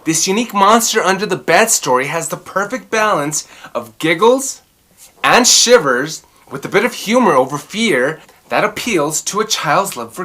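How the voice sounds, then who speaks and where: A woman storyteller reading a children's picture book aloud expressively, with dramatic, drawn-out emphasis. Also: fairly low pitch, a bit bright.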